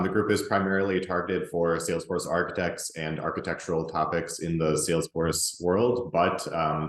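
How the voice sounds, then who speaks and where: Super deep guy recording a slide talk alone, chill, lots of ums, kinda echoey room. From Russia, grew up in the US. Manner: relaxed, conversational, many ums and restarts. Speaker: presenter and group organizer. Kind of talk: recorded webinar presentation.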